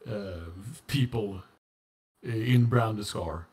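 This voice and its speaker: A man's voice: fairly low pitch, slow, darkish tone. A man playing an online tabletop role-playing game, speaking earnestly when he proposes a plan for the group. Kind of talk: casual chat, partly in character.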